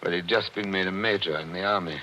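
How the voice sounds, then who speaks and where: An actor playing a brooding attorney who narrates wistfully in a 1940s radio mystery. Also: male voice, fairly even intonation, fast talker, pushed and bright, slight background noise. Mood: reflective. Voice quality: low, reflective, wistful. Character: brooding middle-aged male attorney narrator. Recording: dull old radio recording with music.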